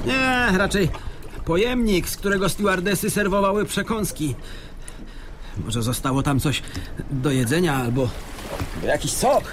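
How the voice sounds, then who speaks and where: Lively, high-voiced sardonic castaway. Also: male voice, a little slow, long pauses. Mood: practical, animated. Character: sardonic, excitable male castaway bobbing at sea. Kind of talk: radio drama acting. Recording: clear water sloshing noise behind. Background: lapping, trickling water.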